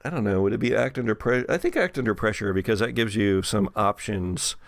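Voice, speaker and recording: A man's voice: lowish voice, fairly quick, creaky, dry recording, clean studio sound. A man running a horror tabletop game, improvising vivid narration with conversational asides. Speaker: game master.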